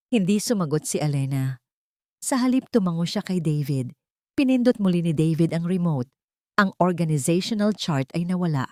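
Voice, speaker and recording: Low-voiced woman narrating a dramatic story. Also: a little mellow, no room sound, clean studio sound.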